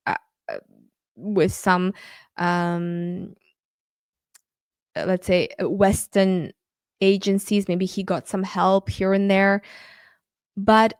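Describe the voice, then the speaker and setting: A woman's voice: lowish voice, no room sound, studio quality. A woman whose first language is Uzbek, talking earnestly in a podcast monologue, often stopping and restarting her sentences. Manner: earnest, halting with frequent restarts. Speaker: podcast host. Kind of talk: casual monologue.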